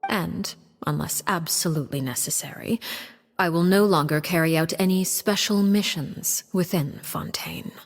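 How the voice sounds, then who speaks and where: A woman's voice: lowish voice, a little hiss or hum, natural voice. A woman playing a poised, calculating diplomat in a video game, speaking formally and politely with veiled menace. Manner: formal, measured, polite and elegant. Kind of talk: scripted video game voice acting. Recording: long hall reverb.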